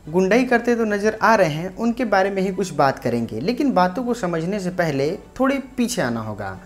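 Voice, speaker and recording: A woman presenting a local news report, narrating and speaking directly to viewers. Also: fairly low pitch. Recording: faint music bed behind voice.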